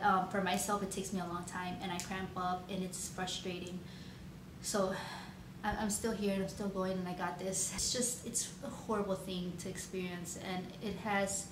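A woman's voice: medium pitch, steady tone, normal speed. Woman, 30s, casual vlog talk, rambling, echoey room, audible hiss. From Chicago, United States. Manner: chatty, rambling, frequent ums and likes. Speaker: nail artist vlogger. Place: home room with shelves, indoors.

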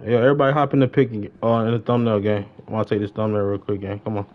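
A man's voice: lowish voice, very fast. A young American man in casual, slangy livestream gaming chat, repeating short phrases. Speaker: livestreamer playing a roleplay game. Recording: dull, noisy game stream mic.

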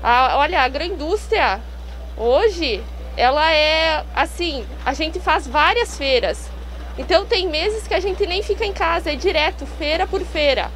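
A woman's voice: high-pitched, expressive, unhurried, a bit bright. A young woman with a southern Brazilian accent answering conversationally as an interview guest, slightly muffled by a face mask. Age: young adult. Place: outdoors in a park at a fair. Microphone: handheld microphone. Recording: clear background noise and hum outdoors.

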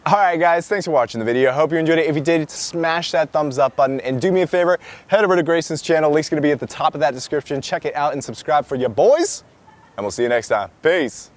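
A man's voice: on the high side, quick pace. A young American man in his twenties chatting casually and apologetically with passers-by as a video host. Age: 20s. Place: outdoors and in busy campus spots. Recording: outdoor crowd chatter behind.

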